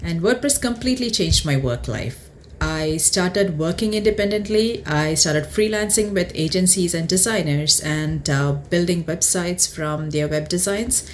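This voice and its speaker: A woman from India chats in a relaxed way as a podcast guest. Her voice sits a little low. Faint room noise runs behind her. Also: unhurried. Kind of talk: casual testimony.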